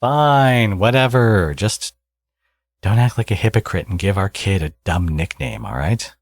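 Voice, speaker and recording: A man playing a smug, teasing villain with a secretly caring side in an ASMR audio roleplay, speaking casually and sardonically with a husky voice. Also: lowish voice, a little slow, no room sound, clean studio sound. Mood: wry, grudging.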